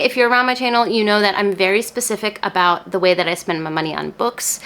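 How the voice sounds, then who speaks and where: A woman's voice: medium pitch, a bit bright. A woman chatting casually to camera as a book reviewer, enthusiastic and emphatic, with informal phrasing and casual swearing.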